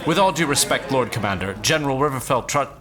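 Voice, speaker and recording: A man's voice: medium pitch, animated, quick pace, a crowd in the background, close to an everyday voice. A man playing a loyal, earnest soldier in a fantasy audio drama, speaking formally. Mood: earnest, doubtful.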